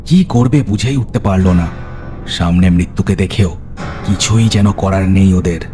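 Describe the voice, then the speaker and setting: A man's voice: sits low, normal speed. A man giving a dramatic, scripted narration of a horror story. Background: music.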